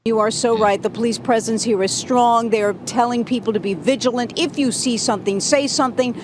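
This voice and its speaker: A woman reports live for TV news in a higher-pitched voice. She is outdoors, with clear traffic rumble and street noise behind her. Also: fairly quick.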